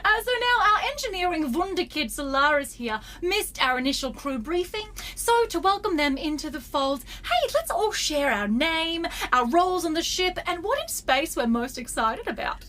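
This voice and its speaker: A woman's voice: extremely high pitch, animated, moderate pace, hardly any pauses, sharp tone, exaggerated voice. A woman playing an exuberant, theatrical starship co-captain and scientist in a sci-fi comedy audio drama, gushing and dramatic.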